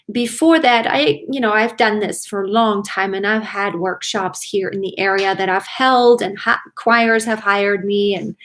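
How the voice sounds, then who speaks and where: A woman from Germany, a native German speaker and singing teacher, talking casually and enthusiastically to viewers as a livestream host. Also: a little high. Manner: chatty, enthusiastic, addresses viewers directly.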